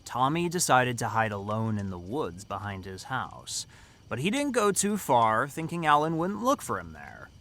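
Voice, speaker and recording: A man's voice: mid-range voice, huge pitch swings, moderate pace, fairly bright tone. A man playing a cocky, teasing teenage camp counselor who tells stories dramatically in an audio drama. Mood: suspenseful storytelling. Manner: teasing, dramatic storytelling delivery. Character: cocky teenage summer camp counselor telling a scary story.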